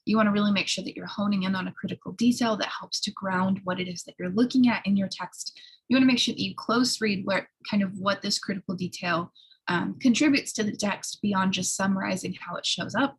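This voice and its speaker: Slightly hoarse woman lecturer with creaky voice. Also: mid-range voice, fairly quick, poor audio. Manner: instructional, frequent checking tags like "right?". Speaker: college writing instructor. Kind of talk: explanatory online lecture.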